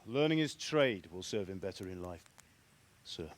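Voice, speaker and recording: An actor playing a middle-aged village blacksmith, a stern and cautious father with a measured, plain-spoken manner in a game cutscene. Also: low voice, expressive. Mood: respectful. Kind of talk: dramatic game cutscene acting.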